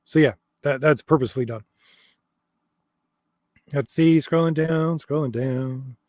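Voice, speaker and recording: A man's voice: on the high side, a little slow, a little mellow, no echo, clean studio sound. Man with a tired, fading voice. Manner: hesitant, many fillers and restarts, reads comments aloud. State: losing his voice. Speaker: host answering viewer comments. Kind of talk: casual livestream chat.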